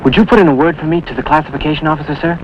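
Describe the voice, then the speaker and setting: A man's voice: fairly high pitch, rapid-fire, natural voice. Eager young American sailor played by a man. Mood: eager, polite. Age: young adult. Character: eager, polite young male Navy recruit. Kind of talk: 1950s American TV drama acting. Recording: muffled, noisy 1950s TV soundtrack.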